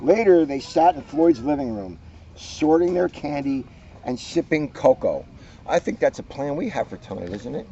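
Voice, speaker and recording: A man's voice: fairly high pitch, very animated, moderate pace, creaky, mellow, muffled recording, slight background noise. A man reading a storybook aloud with playful, joking asides.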